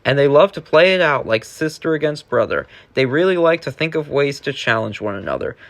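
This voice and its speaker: Man, slightly high-pitched voice, storybook reader, rhyming verse, sing-song, expressive. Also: fairly quick. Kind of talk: rhyming children's read-aloud.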